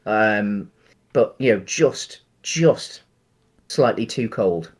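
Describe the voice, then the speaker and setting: A man co-hosting a casual film discussion podcast, speaking in a hesitant, halting way. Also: lowish voice, lively intonation, slightly rough. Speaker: podcast co-host.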